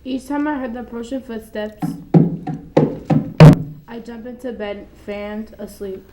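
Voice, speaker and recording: A woman's voice: a little high, measured pace, over loud music. A young teenage girl, a middle school student, reading aloud in a dramatic style. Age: young teen, middle school student. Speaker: student reader.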